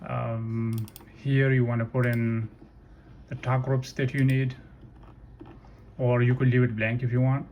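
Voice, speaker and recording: A man giving a casual, unscripted software walkthrough in a halting way, thinking aloud and correcting himself. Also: medium pitch, level tone, lo-fi sound. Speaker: tutorial presenter. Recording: noisy room with keyboard typing. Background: computer keyboard clicks, ambient noise.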